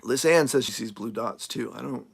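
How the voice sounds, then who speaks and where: A man who is a nomad RV creator, chatting casually on a live stream as he answers viewer comments, rambling with lots of fillers and restarts, his voice going a little hoarse. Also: medium pitch, normal speed. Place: RV camp in the desert, outdoors.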